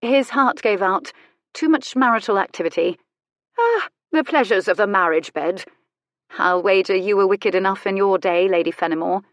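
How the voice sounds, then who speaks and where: A woman's voice: mid-range voice, normal speed, no room sound, natural voice. Woman playing a cool, witty, worldly courtesan. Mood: playful. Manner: cool, playful, composed. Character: worldly younger widowed courtesan, bold, witty, unflappable.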